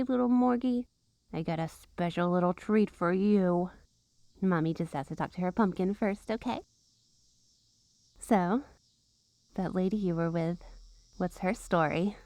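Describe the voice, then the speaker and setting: A woman's voice: mid-range voice, dark tone, no echo. A woman playing a possessive, witchy girlfriend in an ASMR roleplay, teasing and cooing with sugary sweetness and an undertone of menace. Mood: probing, sweetly menacing. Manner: teasing, cooing, sing-song baby talk to pets.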